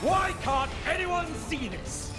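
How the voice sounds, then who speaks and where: An actor voicing a scheming, unhinged usurper prince in a video game cutscene. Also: male voice, very high-pitched, very animated, slow, roomy sound, over music, exaggerated voice. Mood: manic. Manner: manic, wheedling.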